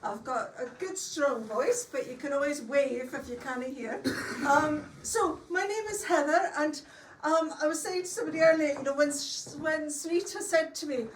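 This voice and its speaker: A woman's voice: high-pitched, normal speed, slight background noise. A middle-aged woman with a Scottish accent telling a folk tale to a live audience in a chatty, homely way, with folksy asides. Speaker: storyteller. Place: longhouse hall with a live audience. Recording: echoey room, distant mic.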